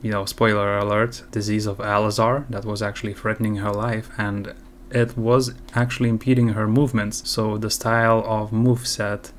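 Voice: medium pitch, level tone, normal speed, a little hiss or hum. A gaming video host giving casual reaction commentary.

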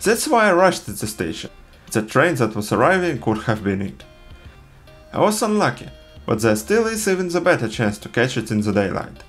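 A man from Russia, narrating a travel film in an earnest, measured voice-over. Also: medium pitch, big pitch swings, a bit bright, soft background music. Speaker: travel vlogger.

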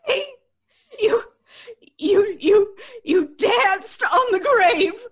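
A woman's voice: very high voice, lively intonation, very slow, full character voice. A woman playing a hysterical, superstitious young woman in mortal terror, wailing and stammering in old-time radio horror style. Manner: wailing, stammering, repeats herself frantically.

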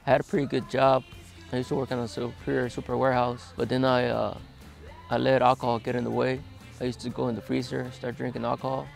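A man in his twenties, interviewed outdoors in a desert yard, speaking plainly with many hesitant pauses. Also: medium pitch, little pitch movement, creaky, soft background music. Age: 20s. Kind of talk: documentary interview, recounting his past.

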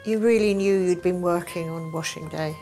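A woman's voice: lowish voice, moderate pace. An older woman recalling housework as a neighbour, talking in a practical, matter-of-fact way in a kitchen, with music playing underneath. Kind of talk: casual chat. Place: period-style kitchen, indoors. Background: soft music.

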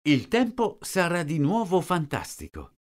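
A man slowly reading out short sentences, each one twice, for a language lesson. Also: fairly high pitch, wildly swooping pitch. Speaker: language teacher. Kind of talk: slow repeated phrase reading.

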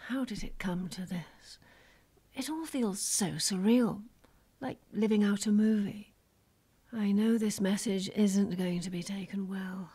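A woman playing a grave, composed prime minister with a soft, husky voice in an audio drama. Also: mid-range voice, a little slow. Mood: troubled, quiet. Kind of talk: radio drama acting.

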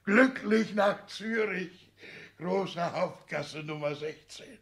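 A man's voice: sits high, slow pace, creaky, heavily acted. An actor playing an elderly, proud and gloating father in a theatrical 1960s radio play, swinging from whispers to outbursts. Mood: excited, gloating. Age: older. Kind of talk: stage-like 1960s German radio play acting.

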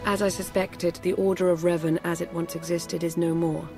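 Woman voicing cool female empire agent in a game, formal and measured, loud music behind. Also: fairly low pitch, level tone, natural voice. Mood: cool, composed. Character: cool, composed female intelligence operative of a dark empire. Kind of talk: scripted video game cutscene acting.

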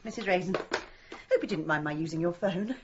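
A woman's voice: fairly low pitch, moderate pace, highs cut off, background noise, lightly acted. An actress playing a kindly, fluttery village lady with an English accent, genteel and effusive, in a radio drama. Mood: polite. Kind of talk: British radio drama acting.

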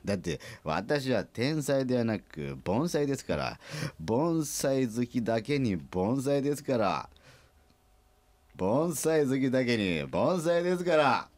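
A man's voice: mid-range voice, very animated, slow pace, a little mellow, no echo. A man playing a rambling, jovial middle-aged small-factory president who loves his own puns, in a comic radio drama.

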